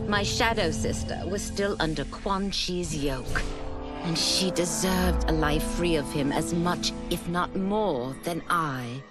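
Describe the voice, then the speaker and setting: An actress playing an earnest, redeemed warrior woman, narrating solemnly and with feeling for a video game. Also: fairly low pitch. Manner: solemn, heartfelt. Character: earnest, redeemed female warrior devoted to her sister. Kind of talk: scripted game story narration. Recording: loud music behind the voice.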